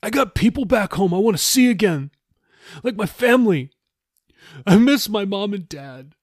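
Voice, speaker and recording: A man's voice: high-pitched, expressive, normal speed, slightly rough, no echo, studio quality, voice pushed up, heavily acted. A man voicing a desperate young male captive sailor in an audiobook, pleading and agitated in slangy speech. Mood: tearful pleading.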